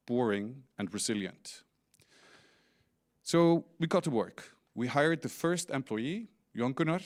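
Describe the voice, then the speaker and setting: A middle-aged man with an Icelandic accent gives a conference presentation as a company founder, explaining things with light humor and small restarts. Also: mid-range voice. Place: large indoor conference stage.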